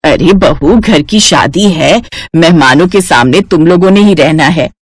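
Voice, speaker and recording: A woman's voice: deep voice, measured pace, slightly rough, no echo, studio quality, voice pushed down. A woman voicing an elderly, commanding village mother-in-law in a cartoon family story. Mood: insistent.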